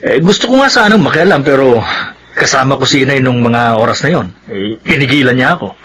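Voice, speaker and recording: A man's voice: medium pitch, expressive, brisk, a bit bright, close to an everyday voice. A man playing a supportive young village man in a radio drama, easygoing and reassuring. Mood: friendly. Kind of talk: Filipino radio drama acting.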